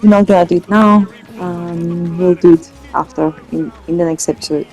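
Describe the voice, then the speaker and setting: Mellow woman thinking aloud over gameplay. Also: on the low side, fairly even intonation, moderate pace, soft background music, poor audio. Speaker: gamer, let's play host. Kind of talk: casual gameplay commentary.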